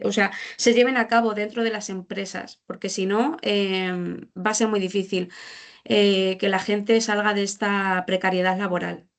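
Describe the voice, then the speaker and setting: Hesitant woman presenting findings. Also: medium pitch. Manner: explanatory, hesitant, rephrasing and repeating points. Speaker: social policy presenter. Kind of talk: informal spoken presentation of report findings.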